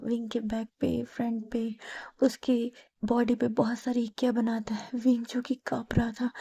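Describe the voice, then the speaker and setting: A hoarse young woman narrating a storybook tale in a soft, often whispery voice. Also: on the high side, no room sound. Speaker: storyteller, fan fiction author.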